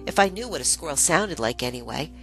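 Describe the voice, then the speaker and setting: Female narrator, low register, scripted memoir storytelling, deliberate dramatic phrasing, light music bed underneath. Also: animated, a bit bright, low-quality recording.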